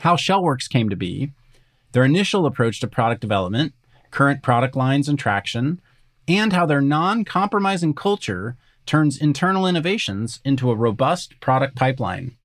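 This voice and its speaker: A man's voice: mid-range voice, sing-song, normal speed. A man hosting an interview podcast, asking curious, reflective questions. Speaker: podcast host.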